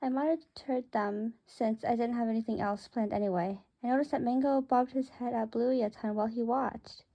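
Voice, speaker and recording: High, mellow female story narrator. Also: fairly even intonation, moderate pace, hardly any pauses, low-quality recording. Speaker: narrator reading a story aloud. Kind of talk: first-person children's story read-aloud.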